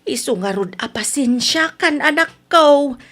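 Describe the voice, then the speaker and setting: A woman's voice: high voice, animated, measured pace, a bit bright. A woman with an Ilocano accent playing an older gambling mother, wheedling and quarrelsome, in an audio drama. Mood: wheedling, apologetic. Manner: wheedling, snappish. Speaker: drama actress.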